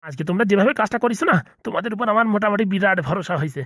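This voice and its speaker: Man playing a rapid-talking cartoon gangster boss. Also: high-pitched, pushed and bright, dry recording, clean studio sound. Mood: bossy, businesslike. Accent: Bengali. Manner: bossy, businesslike, repeatedly seeking agreement. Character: blunt, self-important small-time gangster boss, a father. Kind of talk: comedy cartoon dubbing.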